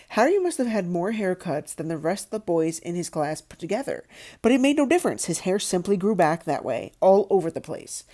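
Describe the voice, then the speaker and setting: A woman narrates a story aloud. Her voice sits on the low side, with a mellow, relaxed tone that suits a read-aloud audiobook. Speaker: audiobook reader.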